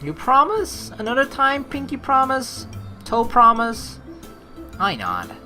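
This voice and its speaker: A woman's voice: a little high, wildly swooping pitch, slow pace, pushed and bright. A woman hosting a casual let's play, playfully reading game narration and rambling through her own commentary. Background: game background music.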